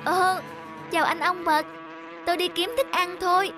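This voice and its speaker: A tiny cartoon ant speaks in a very high voice. It is polite yet defiant, arguing its case indignantly over background music. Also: female voice, little pitch movement, moderate pace. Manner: reasoning, indignant. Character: small plucky ant, polite but defiant. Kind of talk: animated children's fable acting. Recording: music under the voice.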